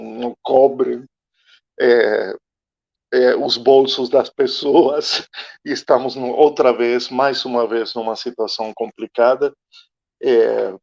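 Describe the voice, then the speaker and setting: An Argentine man, Spanish his first language, speaks in a slow, searching way and pauses often as he reflects. His middle-pitched voice comes through dull, lo-fi video-call audio. The talk is thoughtful and academic. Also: darkish tone. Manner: slow, searching, many hesitations. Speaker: invited guest speaker.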